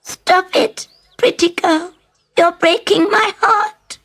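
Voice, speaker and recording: A woman plays a strange, soft-hearted pond frog from a storybook. The voice is extremely high and unhurried, full of theatrical concern. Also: background noise. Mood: concerned, coaxing. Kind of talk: storybook character voice.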